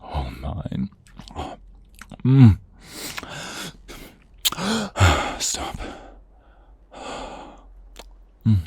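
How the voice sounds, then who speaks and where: Man playing a very deep, husky vampire seducer. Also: very animated, mellow, no room sound, exaggerated voice. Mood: hungry, savoring. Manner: slow teasing murmur, often breathy whispers, sighs. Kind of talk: intimate ASMR romance roleplay.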